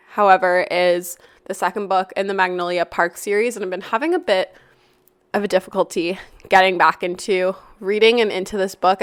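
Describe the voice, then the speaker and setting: Young female podcast host, hoarse and raspy, loose rambling chat, smooth tone under the rasp. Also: mid-range voice, fairly bright tone, dry recording. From Canada. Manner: chatty and rambling, lots of "like". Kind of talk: casual solo podcast chat. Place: apartment, not her usual studio.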